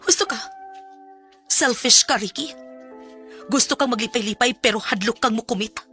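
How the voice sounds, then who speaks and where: A young Filipino woman with a Cebuano accent in a radio drama. Her high voice is emotional and confrontational, wounded by a lover who will not commit. Music plays underneath. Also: moderate pace, bright, forceful tone. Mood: bitter, accusing.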